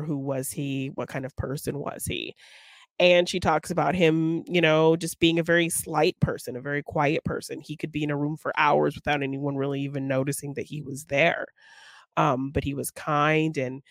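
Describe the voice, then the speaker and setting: A woman's voice: low-pitched, no echo. A woman co-hosting a podcast, casually talking through a film in a hesitant, thinking-aloud way. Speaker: podcast co-host.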